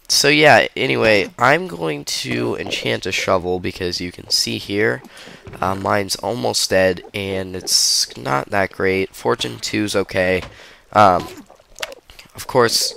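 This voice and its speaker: A man's voice: fairly low pitch, creaky, sharp tone, slight background noise. A young man with a stuffy nose, hosting a casual game commentary and rambling through it with many ums and uhs. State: stuffy, under the weather. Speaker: gaming video host.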